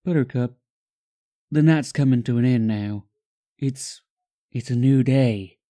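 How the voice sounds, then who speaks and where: A man voicing a gentle young male pony husband in a fan-made audio drama, soft, earnest and comforting. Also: mid-range voice, a little slow, voice pushed up, natural voice. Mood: soothing. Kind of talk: amateur fan-fiction audio drama acting.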